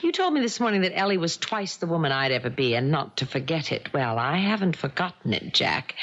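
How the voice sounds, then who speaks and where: A dull, lo-fi old radio recording carries a scheming wife in a mystery drama. Her voice sits fairly low and moves with lively inflection. She is sly and sardonic, politeness sharpened to a point. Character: cool, devious wife coolly scheming against her bigamist husband. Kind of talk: 1970s radio mystery acting.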